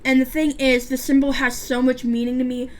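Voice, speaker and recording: A woman's voice: high-pitched, level tone, fairly quick. A woman of about twenty chatting casually while doing her makeup, with a stutter and a lisp and a rambling way of talking. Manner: rambling, chatty, frequent restarts and fillers. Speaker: beauty vlogger.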